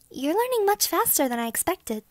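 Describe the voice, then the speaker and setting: A woman's voice: high-pitched, no room sound, clean studio sound. A woman playing a composed angel guide in an audio drama, explaining things in a matter-of-fact way. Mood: mildly teasing. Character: composed female angel guide, mildly teasing.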